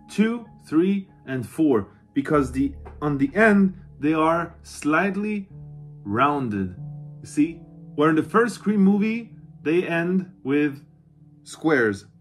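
A man in his thirties giving a casual, enthusiastic review to camera at home, informal in his way of talking. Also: on the high side. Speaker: collector presenting his costume collection. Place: small cluttered room at home, indoors.

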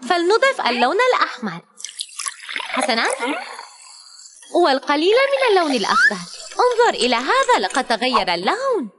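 A woman narrating a scripted educational cartoon for young children in an animated, encouraging, exclaiming way. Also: high voice, a little hiss or hum.

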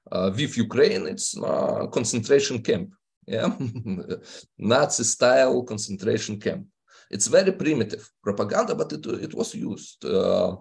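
A middle-aged man from Lithuania whose first language is Russian, giving a halting online seminar talk full of filler sounds and non-native phrasing. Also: on the low side, creaky, a little mellow. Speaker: seminar speaker. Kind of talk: academic lecture over video call. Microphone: video call headset or webcam mic.

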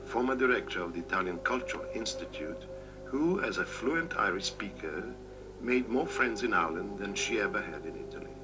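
An older man narrating a documentary in a measured, formal way, reading from a script. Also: low-pitched. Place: room with bookshelves. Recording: noisy home-made video recording.

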